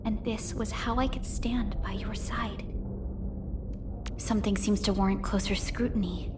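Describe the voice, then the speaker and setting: An actress playing a composed, earnest young supervisor of a sci-fi company in a video game, speaking formally and articulately in measured phrases. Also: female voice, mid-range voice, steady tone, heavy background noise, natural voice. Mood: calm, businesslike. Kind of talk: scripted video game character lines.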